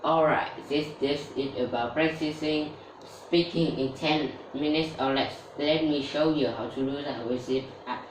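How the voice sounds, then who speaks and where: A woman talks slowly and steadily through a scripted English-learning tutorial. She sounds set back from the mic in an echoey room, with a faint hum underneath. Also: low-pitched. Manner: steady explanatory delivery. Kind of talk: scripted tutorial with sponsor read. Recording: roomy echo, distant mic, faint background noise.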